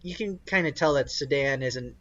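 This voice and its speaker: A man's voice: mid-range voice, normal speed, fairly bright tone, muffled recording. A man narrating a tabletop game as game master, improvising casually and searching for his words.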